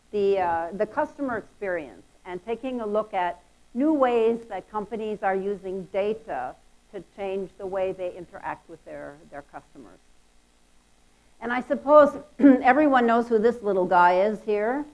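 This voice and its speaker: A woman with a loud voice giving a lively, chatty conference talk. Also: medium pitch, unhurried, low-quality recording. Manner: lively, chatty, self-deprecating. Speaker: conference speaker. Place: conference hall.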